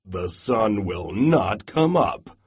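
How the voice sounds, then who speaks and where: A man voicing a big boastful male bear, speaking in slow, deep brags. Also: big pitch swings, a little mellow, slightly rough, dull audio, heavily acted.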